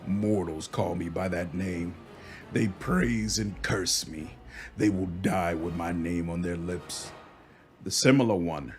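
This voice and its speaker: An actor playing an ancient, arrogant locust demon lord in a video game, rasping and speaking slowly and grandly. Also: male voice, low-pitched, a little hiss or hum. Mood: contemptuous, grandiose. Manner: slow, grandiose, speaks of himself in third person. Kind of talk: video game villain acting.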